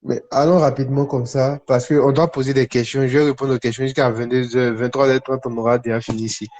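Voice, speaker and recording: A man preaching in a conversational, charismatic style to online viewers, repeating short phrases for emphasis with pauses between them. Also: mid-range voice, level tone, a little mellow, slight background noise. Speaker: preacher hosting a live online prophetic session. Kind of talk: charismatic sermon and prophetic teaching, conversational, addressing viewers. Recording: dull, lo-fi livestream audio.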